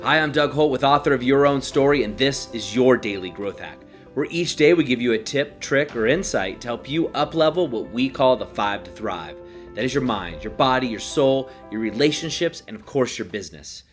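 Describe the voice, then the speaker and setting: A man's voice: medium pitch, expressive, moderate pace, soft background music. A stuffed-up man with a cold, hosting a casual self-help talk in a hotel room and rambling with many restarts. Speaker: podcast host.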